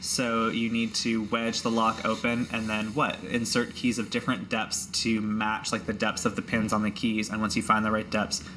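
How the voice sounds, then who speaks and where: A game master describes scenes in a tabletop game as they go. The manner is casual and explanatory, the pitch middling. Faint noise is audible behind the voice. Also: level tone, normal speed, few pauses, fairly bright tone. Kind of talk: improvised tabletop game narration.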